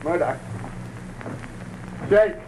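An actor playing a cocky, defiant young prisoner in an old radio drama, exclaiming with agitated bravado. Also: male voice, very slow, noisy background. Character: cocky, defiant young male prisoner facing his end. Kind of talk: 1940s radio drama acting.

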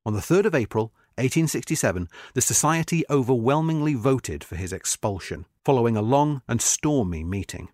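A man reading a history article aloud as scripted narration, measured and expressive with marked emphasis. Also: medium pitch, dry recording, clean studio sound.

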